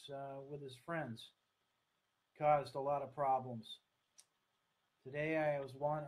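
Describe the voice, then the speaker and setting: Man, sixties, American accent, slightly high pitch, earnest, halting, deliberate, online talk to webcam. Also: low-quality recording. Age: 60s. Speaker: speaker, coach. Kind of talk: online talk to camera, partly read from notes. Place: small room at home, indoors. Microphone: webcam or laptop at desk distance.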